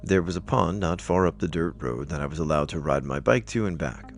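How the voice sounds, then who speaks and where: A man with an extremely deep voice narrates scary stories. His delivery has a gravelly, creaky edge. Soft music plays behind the scripted storytelling. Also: fairly quick. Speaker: narrator reading online stories aloud.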